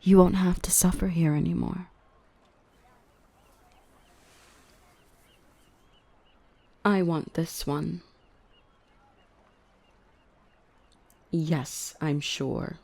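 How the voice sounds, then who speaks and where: A woman playing a dominant yet protective dark elf mistress in an ASMR roleplay, by turns cutting and tender. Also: on the low side, steady tone, measured pace, no room sound. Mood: gentle, reassuring. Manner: stern and cutting to traders, tender and coaxing to the human. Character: dominant female dark elf, protective, gentle with her rescued human.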